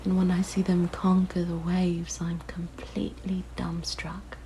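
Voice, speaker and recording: Woman playing a slow, whispering, hungry siren. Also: fairly low pitch, little pitch movement. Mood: shy, fascinated. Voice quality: soft, breathy, largely whispered. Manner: slow, hushed, musing, gently menacing. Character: weak-voiced yandere siren, lonely, curious, hungry predator. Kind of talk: ASMR roleplay. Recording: faint background noise.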